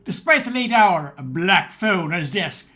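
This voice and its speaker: Radio tale host, male actor: theatrical, formal storyteller with a high, forceful voice. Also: very animated, normal speed, soft background music, full character voice. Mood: theatrical, inviting. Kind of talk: old-time radio narration.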